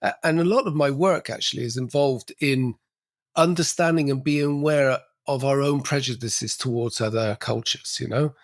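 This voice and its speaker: A man's voice: mid-range voice, normal speed, dry recording. A middle-aged man speaks in a mid-range voice. He talks in a loose, reflective way, often restarting phrases and leaning on "actually." The sound is close and dry, with no room around it. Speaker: podcast guest, cultural coach. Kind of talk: casual interview conversation.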